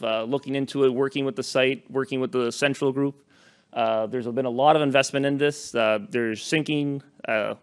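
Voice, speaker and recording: A young man talks casually to a conference audience through a microphone. His voice is smooth and resonant, set at an ordinary pitch. He talks loosely, with plenty of "uh"s and restarts. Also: fairly bright tone. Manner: loose, chatty, many fillers and restarts. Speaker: conference presenter. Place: conference room with live audience. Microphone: handheld or podium microphone.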